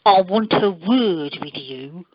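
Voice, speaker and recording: A woman's voice: fairly low pitch, lively intonation, slow pace, no room sound. A woman playing a cantankerous, demanding hotel guest in an amateur radio comedy, over a dull conference line. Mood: indignant.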